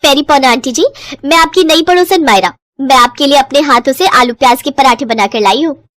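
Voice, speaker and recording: Cartoon neighbour done by a woman: young, female, very high, sweet, polite, eager to please, dry sound. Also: fairly quick, fairly bright tone, no echo.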